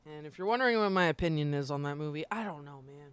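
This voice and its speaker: A woman's voice: sits low, expressive, quick pace, clear, resonant tone, dry recording, faint music behind. A woman chatting casually on a livestream, informal, opinionated and slangy in an emphatic way. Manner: informal, slangy, frequent swearing. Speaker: live streamer.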